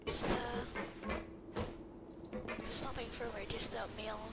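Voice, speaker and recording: A woman's voice: a little high, flat delivery, measured pace. A woman giving casual, reactive gameplay commentary, rambling and often repeating her words. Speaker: game streamer. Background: loud game music.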